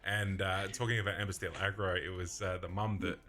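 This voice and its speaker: Low, bright-voiced laughing man. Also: little pitch movement, fairly quick, soft background music. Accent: mixed English and Australian. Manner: chatty, laughs easily. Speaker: podcast co-host. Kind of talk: casual chat.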